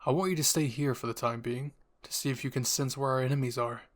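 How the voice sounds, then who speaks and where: A man voicing a composed, authoritative military commander in a story, with a serious, deliberate manner. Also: mid-range voice, steady tone, lightly acted. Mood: calm command.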